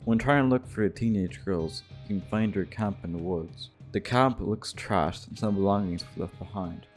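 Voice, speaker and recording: Male narrator, lowish pitch, casual scripted gaming commentary, irreverent delivery, guitar music bed. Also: moderate pace, a little mellow. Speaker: video essay narrator. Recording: music behind the voice.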